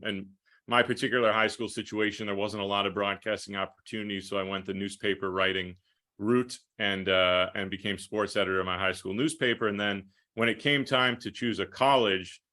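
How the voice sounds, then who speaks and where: A man's voice: fairly low pitch, bright, forceful tone. A man in his thirties from New York, talking casually as a broadcaster being interviewed on a podcast. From Rochester, New York, United States. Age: 30s. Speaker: podcast guest, play-by-play broadcaster.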